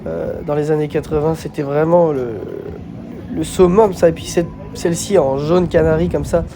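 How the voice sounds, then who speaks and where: A man's voice: on the high side, steady tone, moderate pace, noisy background. A man with a slight head cold gives casual vlog commentary as a host, hesitating and repeating words as he goes.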